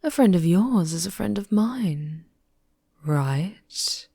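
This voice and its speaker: A woman voicing a politely menacing vampire lover in an ASMR audio drama, soft and breathy. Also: fairly low pitch, animated, slow, dry recording, clean studio sound, full character voice. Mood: sweetly menacing.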